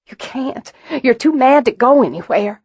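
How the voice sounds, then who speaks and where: A woman narrator voicing an earnest young mother who talks plainly and bluntly, a bit higher than her narration. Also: lively intonation, moderate pace, a little mellow, husky, dry recording. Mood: urgent.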